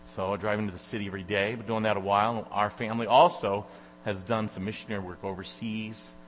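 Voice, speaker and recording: Brief: fortyish Michigan man, guest preacher, loud and rambling, a bit low in pitch, caught on a church mic with a long echo. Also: little pitch movement, fast talker. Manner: loud, chatty, rambling with many fillers. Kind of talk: informal sermon introduction. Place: church sanctuary. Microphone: handheld or stand microphone. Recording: echoey church hall with mains hum.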